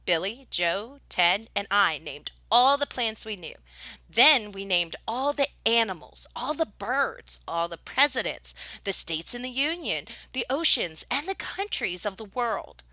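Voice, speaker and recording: A woman reads a picture book aloud like a teacher to young listeners. Her voice is bright and very animated, with deliberate, expressive phrasing. The sound is dry and close. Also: a little high, dry recording, poor audio. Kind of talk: expressive children's storybook narration.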